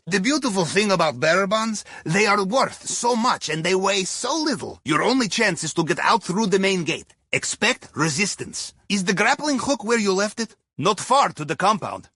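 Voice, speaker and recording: A playful Russian submarine captain for a video game. The voice sits high for a man and swings widely in pitch, with a husky grain. He guides a crew with wry, theatrical flair in accented English. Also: pushed and bright, no room sound, full character voice. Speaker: mission guide over comms.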